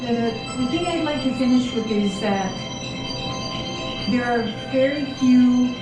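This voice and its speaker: A woman hosting an interview, talking in a halting, thoughtful way with many fillers. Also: over loud music. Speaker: podcast host, clinician. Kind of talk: interview conversation. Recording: very echoey room, distant mic, rumble.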